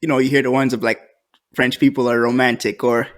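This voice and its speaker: A man co-hosting a podcast in casual chat, relaxed and thinking aloud with many fillers. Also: medium pitch, fairly quick. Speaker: podcast co-host.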